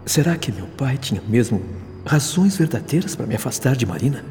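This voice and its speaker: An actor with a Brazilian accent playing an anguished, doubting young son in troubled inner monologue in a radio soap opera. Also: male voice, medium pitch, very animated, a little fast, darkish tone, close to an everyday voice. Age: young adult. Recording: long reverb, music underneath.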